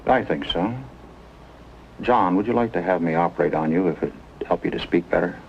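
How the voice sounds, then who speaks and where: Reassuring American physician, measured delivery, deep voice, old TV drama with slight hiss. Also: male voice, gravelly. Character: calm, plain-spoken male doctor, reassuring with young patients. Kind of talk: 1950s television drama acting. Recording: muffled, noisy old 1950s TV soundtrack.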